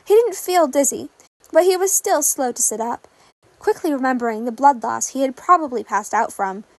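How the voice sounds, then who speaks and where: A woman narrates a scripted story in a high voice. The sound is dry and close, as an amateur audio fiction reading with no room around it. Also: normal speed, dry recording. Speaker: fan fiction reader.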